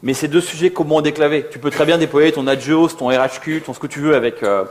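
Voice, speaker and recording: Male conference speaker, rapid and a little high-pitched, chatty and slangy while fielding questions. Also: a little hiss or hum. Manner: casual, slangy, self-deprecating. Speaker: conference speaker, software developer. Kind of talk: informal conference Q&A.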